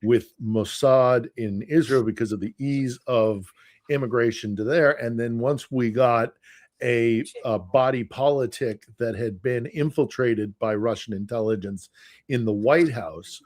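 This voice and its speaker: A man on an online panel over a video call, explaining a point in a measured, orderly way. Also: mid-range voice. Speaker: panel guest. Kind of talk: casual discussion.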